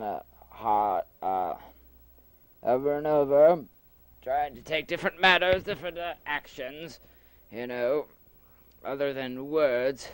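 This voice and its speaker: A man's voice: medium pitch, clear, resonant tone, dry recording, lightly acted. A man voicing a stern male elder in an amateur audio drama, rambling with many uhs as he lists a youth's misdeeds.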